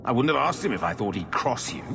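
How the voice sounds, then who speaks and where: An actor playing a middle-aged uncle in a video game, a shady schemer who talks in a hedging, grudging, defensive way. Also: male voice, medium pitch, very animated, quick pace, gravelly, noisy background. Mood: grudging persuasion.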